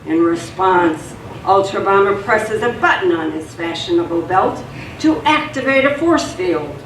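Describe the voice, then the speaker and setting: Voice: medium pitch, lively intonation, a little slow, fairly bright tone. In an echoey room with faint noise, a narrator reads a radio play. The delivery is scripted dramatic storytelling, picked up at a distance from the mic.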